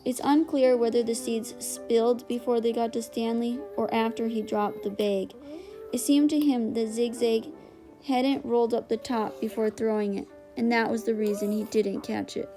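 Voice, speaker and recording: A woman's voice: a little high, steady tone, moderate pace, warm tone, rough recording. A woman reading a storybook aloud as scripted narration. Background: music.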